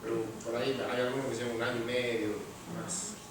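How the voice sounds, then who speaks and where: A man with an Argentine accent, a literature teacher and writer, speaking in a measured, thoughtful way with pauses. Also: mid-range voice. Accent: Argentine Spanish. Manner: measured and thoughtful, pausing with fillers. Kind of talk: interview answers, then reading his story aloud. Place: large room at an award ceremony. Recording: echoey room, far from mic, noisy.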